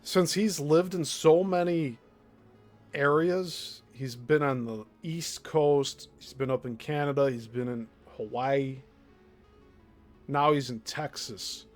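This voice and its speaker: Midwestern male streamer, slow, blunt, exasperated, faint music bed. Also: on the high side, steady tone. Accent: Midwestern American. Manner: blunt, exasperated, laughs often. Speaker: show host. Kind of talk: live-stream commentary on played clips.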